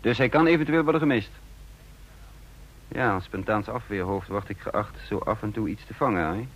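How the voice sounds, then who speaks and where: An actor playing a calculating double agent who poses as a counterintelligence chief, measured and probing in a radio play. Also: male voice, lowish voice, highs cut off, lightly acted. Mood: probing, businesslike. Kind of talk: Dutch radio play acting and first-person narration. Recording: noisy old radio play recording.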